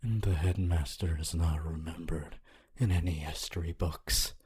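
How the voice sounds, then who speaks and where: A man voicing a lonely, honorable ghost of a teacher in an ASMR roleplay, speaking in a hushed, breathy, halting and wistful way. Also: a bass voice, fairly even intonation, full character voice. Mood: somber, regretful. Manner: slow, halting, wistful, intimate. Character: honorable lonely male ghost, former teacher, tender and regretful.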